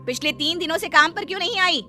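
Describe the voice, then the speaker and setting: A woman's voice: extremely high pitch, fast, faint music behind, voice pushed up, heavily acted. A woman voicing a wealthy, bossy mistress who scolds her maid in a cartoon. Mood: scolding. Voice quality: bossy, sharp-tongued. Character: wealthy middle-aged mistress, haughty, scolding.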